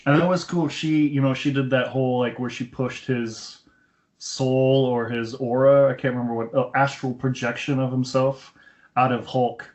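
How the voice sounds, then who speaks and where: A man's voice: mid-range voice, fairly even intonation, normal speed, darkish tone. A man co-hosting a podcast in casual film discussion, explaining things and retelling scenes in detail over an internet call. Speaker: podcast co-host. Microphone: Skype call. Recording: dull, lo-fi internet call audio.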